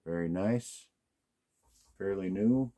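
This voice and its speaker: A man in no hurry talks his way through an unboxing, thinking out loud as he looks over each item. His voice is low and mellow, and he sounds relaxed and easygoing throughout. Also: slow pace. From United States. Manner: relaxed, musing aloud, hums to himself. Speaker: golf club unboxing host. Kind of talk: casual unboxing commentary.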